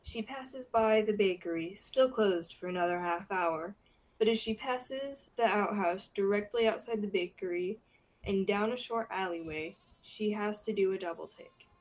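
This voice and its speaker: A woman's voice: mid-range voice, moderate pace, rough recording. A young woman reading scripted narration for a radio theatre play. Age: young adult.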